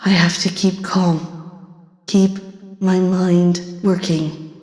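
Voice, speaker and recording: An actress playing a lone frightened survivor steeling herself in a monologue from a sci-fi horror audio drama. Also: female voice, fairly low pitch, level tone, slow, a little mellow. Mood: anxious, self-steadying. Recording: long echoing reverb, faint ambient noise.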